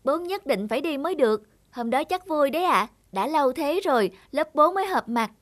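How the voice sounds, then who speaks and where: A woman voicing an earnest, polite and devoted schoolboy son in an animated moral tale. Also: sits high, brisk. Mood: eager. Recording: faint music behind the voice.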